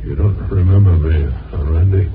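An actor playing a bitter, broken-down ex-reporter in a 1950s radio drama, halting and brusque. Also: male voice, low-pitched, natural voice. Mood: bitter, weary. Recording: noisy, muffled 1950s radio recording.

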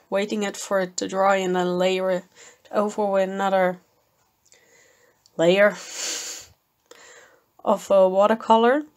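Slow-talking woman losing her voice. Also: medium pitch. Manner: slow, thoughtful, with fillers and small laughs. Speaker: art channel host. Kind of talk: voice-over commentary on a painting video.

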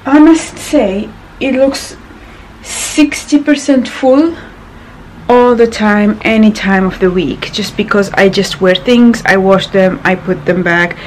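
A woman in her thirties, croaky from little sleep, chatting casually to a handheld camera in her small apartment, rambling with many fillers. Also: mid-range voice, takes it slowly, a little hiss or hum, low-quality recording. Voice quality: gravelly. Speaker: vlogger. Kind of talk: casual vlog talk to camera. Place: small apartment bedroom, indoors. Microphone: handheld camera at arm's length.

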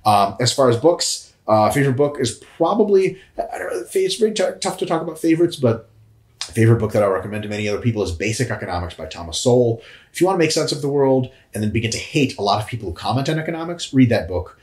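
Man, thirties, casual chat, jokey, swearing, mid pitch, roomy echo. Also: quick pace. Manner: animated, profane, jokey, swings in pitch. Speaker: co-host answering viewer questions. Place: indoor room, on a couch. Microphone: lapel mic. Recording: echoey room.

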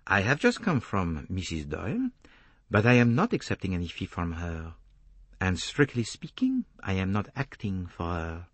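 Male narrator as older male detective, gentle, courteous, measured, lively intonation, audiobook character. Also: sits low, no echo, full character voice. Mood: courteous, probing.

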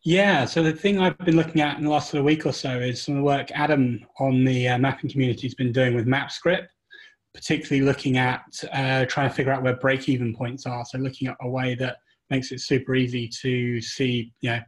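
A man's voice: mid-range voice, fairly even intonation, brisk. A man, a returning co-host, explaining recent work in a casual way, with fillers. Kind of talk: casual discussion.

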